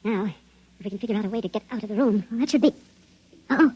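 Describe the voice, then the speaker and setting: A man's voice: high-pitched, racing. A man playing an anxious five-inch-tall shrunken man in a 1950s radio drama, urgent and clipped, his voice artificially raised to sound miniature. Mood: anxious, hushed urgency. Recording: dull, noisy 1950s radio recording.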